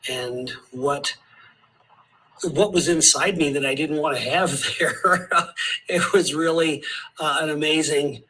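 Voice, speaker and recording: A man from Montana giving a recorded testimonial, halting and full of fillers. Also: fairly high pitch, sharp tone, low-quality recording. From Montana, USA.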